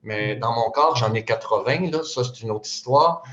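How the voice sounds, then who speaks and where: A man's voice: mid-range voice, moderate pace, a bit bright. A man of sixty-five with a Quebec French accent, speaking casually at a community panel, hesitant with many fillers and restarts. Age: 60s. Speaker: panel speaker, retired psychoeducator. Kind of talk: casual public talk. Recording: roomy, dull lo-fi sound.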